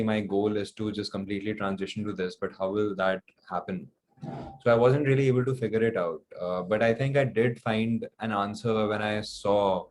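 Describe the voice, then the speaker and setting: A young man speaking reflectively and haltingly as a guest in a casual interview. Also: lowish voice, a little hiss or hum. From New Delhi, India. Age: young adult. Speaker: interview guest, singer-songwriter.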